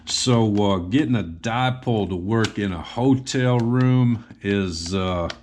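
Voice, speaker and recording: Low-pitched man, casual gear walkthrough. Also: slow pace, darkish tone, a little hiss or hum. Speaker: hobbyist video host. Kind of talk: casual show-and-tell of electronics gear.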